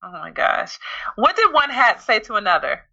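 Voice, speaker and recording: A woman's voice: a little high, very animated, moderate pace, gravelly, pushed and bright, dull audio. A woman co-hosting a podcast in casual chat, playful and teasing with an upbeat, promoting energy. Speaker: podcast co-host.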